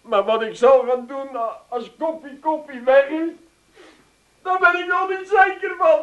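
A man's voice: very high-pitched, a little slow, muffled recording, background noise. An actor playing a sentimental, dim, whiny man, plaintive and stubborn in a radio comedy. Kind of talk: comedic radio play acting.

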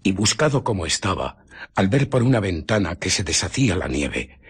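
An actor with a Castilian Spanish accent playing an agitated invisible scientist recounting his ordeal in a radio drama, moving theatrically between hushed murmurs and outcries. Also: deep voice, sing-song, normal speed, breathy edge, full character voice. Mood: agitated, urgent.

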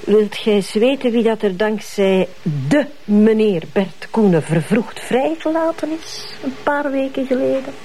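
An actress playing a chatty, cheeky police officer with a Flemish accent, speaking informally in a crime drama. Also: female voice, a little high, lively intonation, measured pace, hardly any pauses, mellow, slight background noise. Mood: matter-of-fact. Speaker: actor in a police drama series.

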